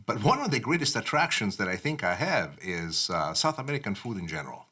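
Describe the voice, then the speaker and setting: A man's voice: on the low side, fairly quick, husky. Passionate man presenting a cooking show. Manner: passionate, expressive delivery with frequent "uh" fillers. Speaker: TV chef. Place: home kitchen.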